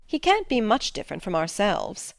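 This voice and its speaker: A woman's voice: mid-range voice, huge pitch swings, normal speed, creaky, no echo, clean studio sound. A woman playing a stern, practical middle-aged farm woman in a dramatic audiobook, talking in a plain, folksy, matter-of-fact way. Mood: calm, resigned. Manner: plain-spoken, matter-of-fact, folksy old-fashioned phrasing.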